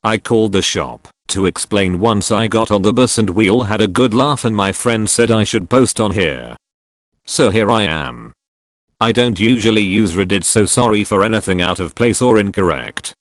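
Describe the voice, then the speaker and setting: A man's voice: on the low side, normal speed, no echo, studio quality. A man reading online stories aloud as narrator, in a wry, storytelling manner.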